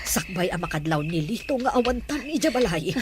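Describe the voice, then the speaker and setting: A woman's voice: medium pitch, sing-song, brisk, a little hiss or hum, close to an everyday voice. A woman with a Filipino accent playing a resolute household mistress on the run in a radio drama. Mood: worried, urgent.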